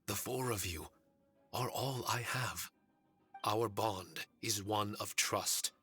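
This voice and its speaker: A man playing a solemn, courteous male spirit servant in an anime game, speaking formally and earnestly in a measured way. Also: lowish voice, bright, forceful tone, slightly rough, exaggerated voice. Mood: solemn, resolute. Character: solemn, courteous male shikigami spirit warrior with fragmented memories. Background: faint music.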